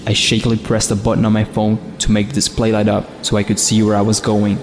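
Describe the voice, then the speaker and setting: A man's voice: lowish voice, completely flat delivery, fast, noisy background. A man reading a scripted first-person horror story as its narrator. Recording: long reverb, faint music behind. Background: faint music.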